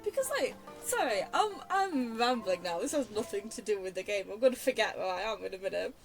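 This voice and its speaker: A woman's voice: mid-range voice, big pitch swings, a little fast, soft background music, low-quality recording. A chatty young woman giving casual, digressive, self-deprecating game commentary. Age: young adult. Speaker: game streamer.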